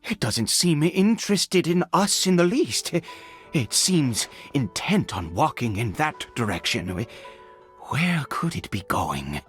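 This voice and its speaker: A man's voice: medium pitch, big pitch swings, slow pace, fairly bright tone. A man voicing a weary, anxious, self-doubting ageing father in a fantasy video game, speaking hesitantly with stammers. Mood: uneasy, curious. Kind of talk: dramatic video game voice acting. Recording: background music under the voice.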